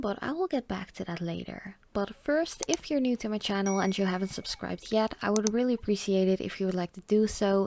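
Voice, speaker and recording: A woman in her thirties with a Dutch accent, chatting cheerfully through a nail polish swatch and sometimes dropping to a soft whisper while she paints. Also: mid-range voice, no echo. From Netherlands. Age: 30s. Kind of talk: casual talk-through over close-up swatching.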